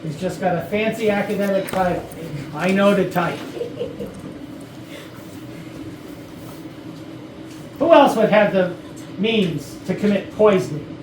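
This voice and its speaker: A woman's voice: mid-range voice, moderate pace, a little mellow, close to an everyday voice. Echoey, far-off actress as a blunt woman investigator. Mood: brisk. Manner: probing, dry, assertive. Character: blunt, sardonic investigator questioning murder suspects. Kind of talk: staged reading of a murder mystery comedy. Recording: distant mic, echoey noisy room.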